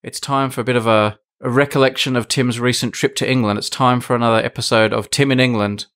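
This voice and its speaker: A man's voice: mid-range voice, steady tone, fast, a bit bright, clear, resonant tone. A man chatting casually as a podcast host. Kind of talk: casual chat.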